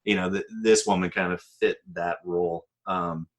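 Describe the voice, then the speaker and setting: A man in casual podcast conversation as a panelist, speaking hesitantly and thinking out loud as he goes. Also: deep voice, fairly even intonation, normal speed.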